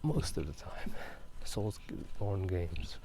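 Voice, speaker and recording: Low, whispery man over outdoor noise. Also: big pitch swings, slow pace. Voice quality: soft, breathy half-whisper. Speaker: ASMR video host. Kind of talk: casual ASMR game talk. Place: outdoors at night. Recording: noisy outdoor ambience. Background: drainage pipe water, passing cars.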